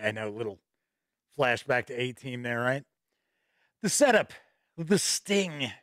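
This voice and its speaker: A man's voice: mid-range voice, very animated, slow pace, a bit bright, no echo. A middle-aged man with a slight cold and a stuffy voice, hosting a casual live-stream news show from a home studio, chatty and upbeat with asides. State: a little stuffy with a starting cold. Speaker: host and editor-in-chief of a tabletop gaming news show. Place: home studio with shelves of games behind. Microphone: studio mic on boom arm close to mouth.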